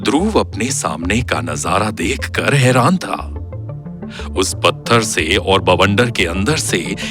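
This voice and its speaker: A man narrating a scripted horror story in a dramatic, suspenseful and expressive storytelling style. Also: fairly low pitch. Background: background music.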